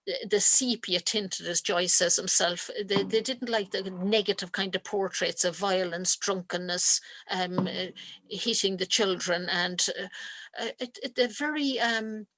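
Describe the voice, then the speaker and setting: A woman giving an online literature lecture over a video call, speaking thoughtfully with frequent pauses, ums and restarts. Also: mid-range voice, fairly bright tone, dry recording. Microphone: computer mic on a video call.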